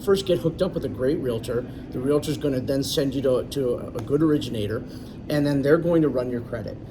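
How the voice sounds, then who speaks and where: A man's voice: mid-range voice, brisk. Confident man, distant and noisy. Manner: confident, explanatory, chatty. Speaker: loan originator, guest. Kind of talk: casual interview. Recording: distant mic, clear background noise.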